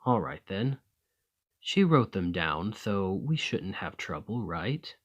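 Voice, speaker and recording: A man playing a desperate, weary immortal gentleman vampire in an ASMR roleplay, speaking formally and courteously in a breathy, husky voice that often drops to a whisper. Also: sits low, very animated, slow, exaggerated voice. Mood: focused. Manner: formal, courtly, old-fashioned phrasing.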